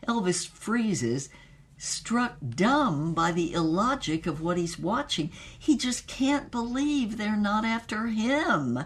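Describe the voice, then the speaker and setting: A performer playing a bold, sultry female spy and film star, telling her exploits in dramatic first-person narration. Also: mid-range voice.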